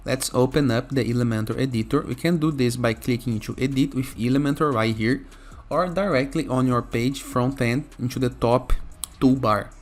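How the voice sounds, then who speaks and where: Lower-voiced male tutorial host over music. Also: normal speed. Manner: instructional, often checking with "okay?". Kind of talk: software tutorial narration.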